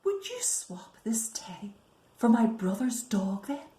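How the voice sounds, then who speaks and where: Storybook young girl played by a woman: caring big sister, gentle, plain-spoken, slow delivery, roomy sound. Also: on the high side, very animated. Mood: gentle, pleading.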